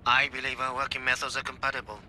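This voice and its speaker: An actor playing a stoic, deadpan ex-gangster assassin in a video game, terse and measured over a two-way radio. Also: male voice, mid-range voice. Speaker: game character, lookout. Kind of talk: video game cutscene acting. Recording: radio transmission with faint noise.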